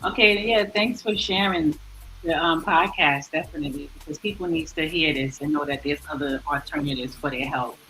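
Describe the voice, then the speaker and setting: A woman's voice: low voice, normal speed, pushed and bright, rough recording. A woman hosting a radio talk show, chatting casually and encouragingly with her guest. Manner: chatty, encouraging, laughs easily. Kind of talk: casual interview chat. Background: music playing behind.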